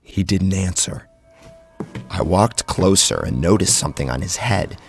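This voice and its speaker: A man's voice: low voice, animated, measured pace, soft background music. A man narrating a first-person horror story in a theatrical, suspenseful way.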